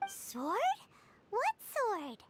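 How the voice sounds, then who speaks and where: A woman voicing a tiny childlike fairy companion in a video game, squeaky, naive and inquisitive. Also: wildly swooping pitch, very slow, heavy background noise, exaggerated voice. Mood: curious, puzzled. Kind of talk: scripted video game character acting. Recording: game audio with loud music behind. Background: loud background music.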